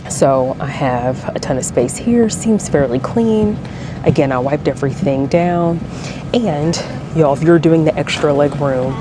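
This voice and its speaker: A woman, chatting casually to her viewers from an airplane seat, her voice slightly deepened by a throat tickle. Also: background noise. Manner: chatty, addresses viewers as y'all and friends. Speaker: travel vlogger. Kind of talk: casual vlog chat to camera.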